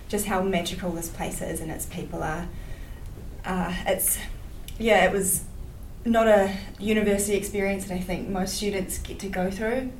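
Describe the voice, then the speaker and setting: Brief: young woman from New Zealand, lowish voice, earnest, echoey hall, heard from afar. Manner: earnest, hesitant with fillers and restarts. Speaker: panelist. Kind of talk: panel discussion answers. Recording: roomy hall, mic at a distance, faint noise.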